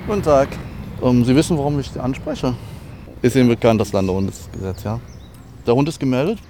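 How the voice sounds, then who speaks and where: A man's voice: mid-range voice, over music. A man working as an enforcement officer, addressing people formally and firmly outdoors in a city park. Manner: brisk, formal and firm. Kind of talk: official on-the-street exchanges.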